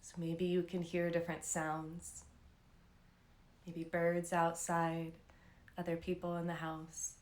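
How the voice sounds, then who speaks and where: Woman, meditation teacher, somewhat low pitch, soothing unhurried guidance, long pauses, echoey live-stream sound. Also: completely flat delivery. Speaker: meditation and yoga instructor. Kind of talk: guided meditation, unscripted live instruction.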